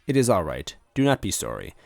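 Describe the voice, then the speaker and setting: A man's voice: deep voice, huge pitch swings, brisk, vocal fry, darkish tone, no echo, full character voice. A man voicing a lonely, apologetic old supercomputer in a story, speaking in formal, precise wording.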